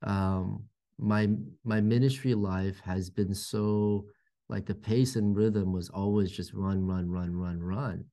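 Man, 40s, pastor guest; low and gravelly, hesitant delivery full of restarts. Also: fairly even intonation, dark tone. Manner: halting, many fillers and restarts. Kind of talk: reflective faith conversation.

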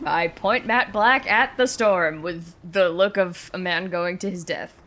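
A woman's voice: fairly low pitch, a little slow, fairly bright tone, a little hiss or hum. A young woman playing a tabletop game, wry and joking, swearing casually. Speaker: player.

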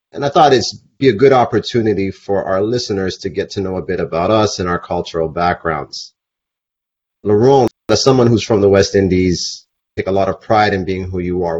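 A man's voice: deep voice, a little mellow, slightly rough, lo-fi sound. A man hosting a casual podcast chat, upbeat and leading the talk with questions. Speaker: podcast host.

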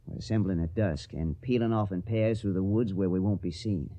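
An actor playing a confident, affectionate young Confederate volunteer in a radio drama, speaking in an earnest, assured manner. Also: male voice, sits low, brisk, mellow. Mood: resolute. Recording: dull, muffled radio recording.

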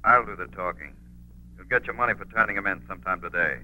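A man acting a gruff, no-nonsense police commissioner in a 1940s radio drama, brusque and authoritative, filtered like a telephone. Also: sits low, quick pace, bright, forceful tone, low-quality recording.

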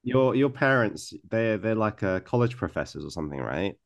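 A man's voice: fairly low pitch, very animated, moderate pace, no echo. A man hosting a podcast interview, asking loose questions padded with many fillers. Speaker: podcast host. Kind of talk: casual interview chat.

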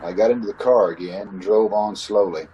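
A man's voice: lowish voice, fairly quick, highs cut off, natural voice. A man playing a haunted, uneasy motorist in an old-time radio suspense drama, recorded over a video call. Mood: brooding dread. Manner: brooding in narration, hesitant and stammering in dialogue. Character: haunted, uneasy male motorist narrating his ordeal. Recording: video call with clear background noise.

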